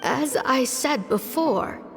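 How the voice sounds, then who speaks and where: A woman's voice: sits high, expressive, creaky, exaggerated voice. A woman voicing an ailing, stubborn old female physician and mentor in a video game, with a weary, sighing, grave delivery. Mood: solemn, resolved. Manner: slow, grave, deliberate. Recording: long hall reverb, music underneath.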